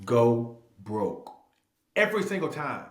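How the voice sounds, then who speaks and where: Male, 30, casual interview guest, emphatic storyteller who repeats key phrases, mid-pitched, roomy echo. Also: sing-song, takes it slowly. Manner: emphatic, repeats phrases, acts out quoted dialogue. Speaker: real estate agent and investor being interviewed. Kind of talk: animated personal storytelling in casual talk. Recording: echoey room.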